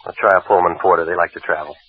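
Hard-boiled private eye, deep voice, fast deadpan quips, dull old radio sound. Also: male voice, slight background noise. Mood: wry. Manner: dry, deadpan, quick wisecracks. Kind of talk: 1940s radio acting. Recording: dull 1940s radio recording.

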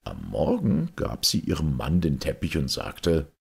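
Very deep, gravelly male storyteller. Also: wildly swooping pitch, unhurried. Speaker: audiobook reader. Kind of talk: storybook fairy-tale narration.

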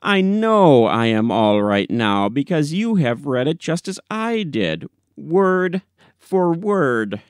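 A man voicing an agitated, crazed male character with an urgent, excitable manner in a storybook reading. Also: a little high, slow, smooth, no echo, clean studio sound, voice pushed up. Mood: excited, agitated.

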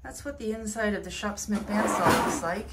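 A woman explaining her woodworking tools in a relaxed, step-by-step way, recorded in her home garage workshop. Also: mid-range voice, moderate pace, vocal fry, very noisy. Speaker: woodworking vlogger. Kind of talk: casual how-to narration.

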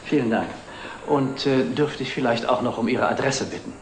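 A middle-aged actor playing a wry, wistful antique dealer, formal and dry, in a 1960s TV drama. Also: male voice, mid-range voice, moderate pace, lightly acted. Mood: wistful, polite. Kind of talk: 1960s German television drama acting. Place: indoor antique shop set. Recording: noisy old TV film soundtrack.

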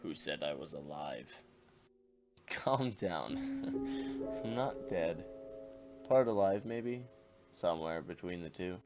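A very low-pitched skeleton man played by a male voice actor, half-dead and glitchy. He talks like a laid-back joker with a weary, haunted side, in a comic dub with music behind him. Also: expressive, slow pace, pauses often. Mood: wry, apologetic.

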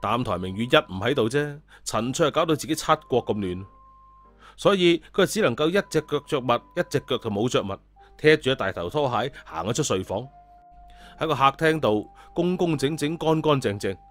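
A man with a Cantonese accent narrating a story in a lively, colloquial scripted style. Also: medium pitch, moderate pace. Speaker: audiobook storyteller. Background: background music.

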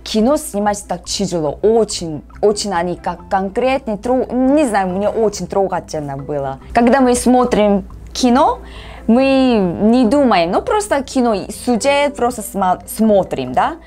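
A woman in her thirties from South Korea with a Korean accent, giving casual reaction commentary in halting, simple phrases of a second language. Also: medium pitch, lively intonation, dark tone. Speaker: reaction vlogger. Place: small room at home, indoors. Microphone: lapel mic. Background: film soundtrack music.

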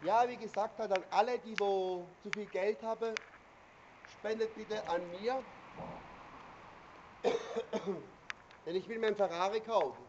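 A man's voice: sits high, takes it slowly, long pauses, highs cut off, noisy background. A middle-aged man from Sicily with an Italian accent, joking and rambling to a handheld camera outdoors under a river bridge, full of hesitant filler sounds. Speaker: vlogger. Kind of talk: joking self-introduction to camera. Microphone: handheld camera nearby.

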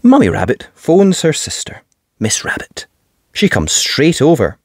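A woman narrating a children's picture book as an expressive storyteller. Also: low-pitched, measured pace, breathy edge, no room sound, studio quality. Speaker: storyteller, channel host.

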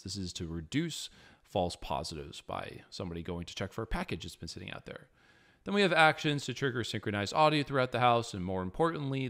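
A man's voice: fairly low pitch, fairly bright tone. Man presenting a tech tutorial. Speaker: tech video host. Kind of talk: explanatory tech tutorial, presenting to camera and in voice-over.

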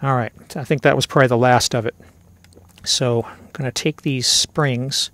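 Casting: middle-aged male tutorial host, conversational and methodical, creaky mid-pitched voice. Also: steady tone, normal speed, a bit bright, no room sound. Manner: conversational, step-by-step explaining. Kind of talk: casual hands-on instructional narration.

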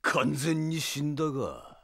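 A man's voice: fairly high pitch, big pitch swings, fairly bright tone, a little hiss or hum, exaggerated voice. An actor playing a cruel, arrogant vampire villain in an audio drama, sneering and drawing out his words. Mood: gloating contempt. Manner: sneering, drawn-out. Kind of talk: Japanese audio drama acting.

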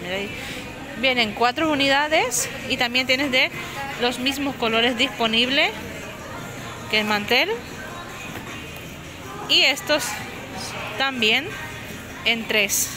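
A woman's voice: high voice, takes it slowly, pauses often, a crowd in the background. A woman filming on her phone in a supermarket, chatting casually as she lists prices and sizes and corrects herself. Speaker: shopping vlogger. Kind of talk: casual store walkthrough narration. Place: inside a large discount supermarket. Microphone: handheld phone camera. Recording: music playing under the voice. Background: background music.

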